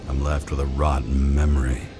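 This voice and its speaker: A world-weary noir game detective, with an extremely low voice. He broods over the scene in a cynical, sardonic way, with loud music playing behind him. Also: little pitch movement, moderate pace. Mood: bitter, weary. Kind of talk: noir video game voice acting, musing aloud.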